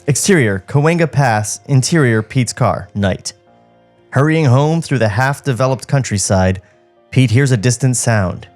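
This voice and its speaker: A man's voice: medium pitch, lively intonation, measured pace, darkish tone. A man reading screenplay stage directions as a podcast host, with music behind him. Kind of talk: screenplay narration with joking asides.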